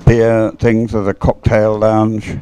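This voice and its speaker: A man's voice: on the low side, monotone, slow, mellow, clear, resonant tone, no echo, soft background music. A British man giving casual, unscripted live sports commentary in a hesitant, rambling, observational way. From United Kingdom.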